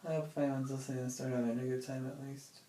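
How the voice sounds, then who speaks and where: A young adult man recording a casual, rambling audio diary, musing in a halting, hesitant way. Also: mid-range voice, flat delivery, warm tone. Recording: very echoey room, faint noise.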